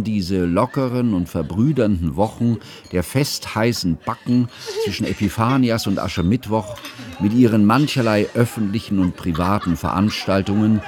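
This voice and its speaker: An actor playing a mild, cultured schoolteacher who narrates his memoir in a radio drama, measured, bookish and reflective. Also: fairly low pitch, lightly acted. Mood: grave reminiscence.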